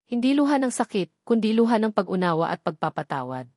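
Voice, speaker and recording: A woman reading a scripted dramatic story in a measured, solemn storytelling manner. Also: mid-range voice, very animated, a little mellow, no echo, clean studio sound. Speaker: story narrator.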